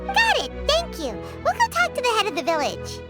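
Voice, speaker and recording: A woman's voice: very high voice, huge pitch swings, fairly quick, loud music behind the voice, full character voice. A woman voicing a small, childlike fairy companion in a video game, chirpy, eager and curious. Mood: perky, eager. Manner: chirpy, exclamatory. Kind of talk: video game cutscene acting.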